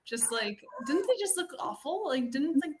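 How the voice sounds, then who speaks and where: A woman's voice: sits high, big pitch swings, normal speed. A woman chatting casually in a group conversation. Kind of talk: casual chat.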